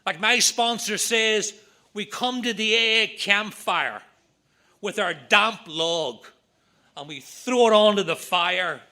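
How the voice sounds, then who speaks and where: A middle-aged man with a Northern Irish accent, giving an earnest talk at a recovery meeting with quick asides. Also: high voice, pushed and bright. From Northern Ireland. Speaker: AA speaker. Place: meeting room.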